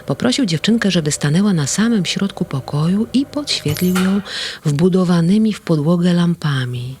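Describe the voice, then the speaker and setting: A narrator reading a children's audio story aloud in a tender, gently expressive way. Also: medium pitch, moderate pace, slight background noise.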